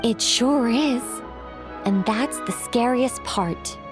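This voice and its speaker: A woman playing a serene, ancient angel storyteller in a video game, eloquent and measured, with a wry, gently teasing streak. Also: on the high side, over music. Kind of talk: video game character acting, storybook narration.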